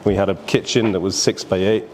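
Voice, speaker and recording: A man's voice: low-pitched, steady tone, brisk, darkish tone, slight background noise, poor audio. A man, a businessman guest telling his life story in a casual, rambling way, often laughing. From Barbados.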